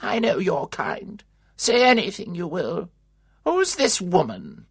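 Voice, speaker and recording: A woman's voice: fairly low pitch, huge pitch swings, slow, slightly rough, no room sound, clean studio sound, pitched above the performer's own voice, heavily acted. Disapproving old aunt, imperious and scornful, as a reader plays her. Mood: haughty. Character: sharp, disapproving elderly aunt.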